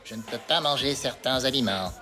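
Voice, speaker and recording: A man performs a haughty schoolboy in a cartoon. He is deadpan and full of disdain, at a middling pitch for a male voice. Music plays behind him. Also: a little fast, natural voice. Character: snobbish, disdainful schoolboy with allergies. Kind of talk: animated children's comedy dialogue.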